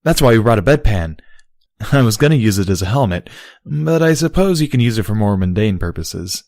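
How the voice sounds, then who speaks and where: A man's voice: fairly low pitch, animated, brisk, a little mellow, dry recording, clean studio sound. A man voicing a brash, hearty, loyal young female pony in an audio story, blunt and emphatic. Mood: teasing.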